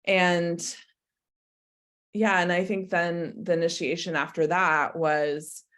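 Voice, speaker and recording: Woman interview guest, low-pitched, very leisurely pace, introspective and conversational. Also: little pitch movement, slow, a bit bright. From born in Croatia, lived mostly in America. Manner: introspective, hedging with frequent "like". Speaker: interview guest, business coach.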